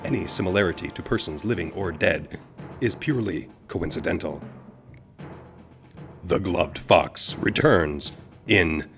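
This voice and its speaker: A man's voice: low-pitched, measured pace, pauses often. A man giving dramatic scripted narration as the announcer of an old-time pulp radio drama. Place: studio live performance. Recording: loud music under the voice.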